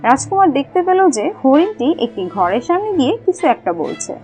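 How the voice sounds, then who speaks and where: A woman's voice: high-pitched, sing-song, a little fast, darkish tone, faint music behind. A woman narrating a scripted fairy-tale cartoon as a storyteller.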